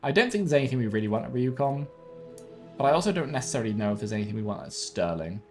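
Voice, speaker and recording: A young man streaming a card game from home, thinking aloud in a relaxed way and correcting himself, sounding a bit sniffly and bunged up. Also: mid-range voice, very fast. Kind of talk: gameplay commentary. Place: home room with webcam. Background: faint game music.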